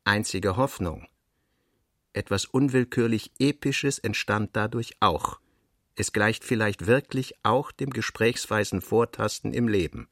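Brooding male novelist, self-critical, lowish voice, deliberate fragmentary phrasing, literary radio drama. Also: fairly bright tone. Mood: dejected self-reproach. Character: brooding male novelist reading his own self-critical working notes. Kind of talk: literary radio play monologue, reading notes.

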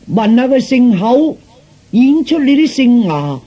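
A man's voice: very high voice, very animated, measured pace, mellow, dull audio, pitched above the performer's own voice, heavily acted. A man voicing an eager, servile, bumbling eunuch servant in a comic Cantonese story performance. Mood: fawning, eager. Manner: fawning, clownish, muddles court phrases.

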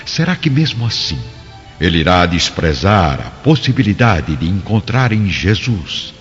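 A man's voice: deep voice, big pitch swings, unhurried, fairly bright tone. A man narrating a scripted radio drama in a solemn, theatrical manner. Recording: dull, reverberant recording with music.

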